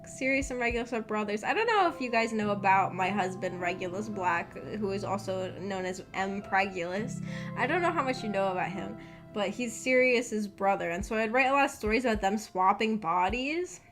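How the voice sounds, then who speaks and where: A woman's voice: medium pitch, moderate pace. A woman livestreamer rambling casually to her viewers in a hesitant, self-deprecating way, as the host. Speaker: livestreamer hosting a visual novel book club. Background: background music.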